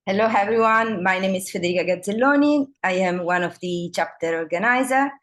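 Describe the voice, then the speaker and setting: An Italian woman from Rome with an Italian accent, introducing herself as a co-organizer on a video call, halting and pausing with "uh" fillers. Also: mid-range voice, fairly bright tone. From Rome, Italy. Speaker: meetup co-organizer.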